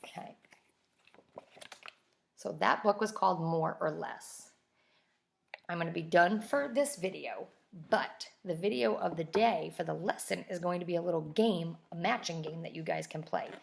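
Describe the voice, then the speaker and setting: A woman reading a children's story aloud like a teacher, pausing to explain things step by step in simple words. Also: fairly low pitch, gravelly.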